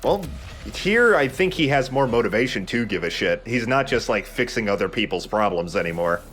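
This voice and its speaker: Male let's play host, low voice, conversational and jokey, swears casually, noticeable background noise. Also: expressive, normal speed, a bit bright. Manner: conversational, joking, casually profane. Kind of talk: casual gaming commentary.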